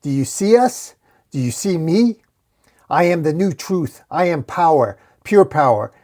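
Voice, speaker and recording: A man voicing a domineering, hateful gang leader who yells to rally his thugs in a comic book story. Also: mid-range voice, normal speed, natural voice. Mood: fanatical rage. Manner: yelling, domineering.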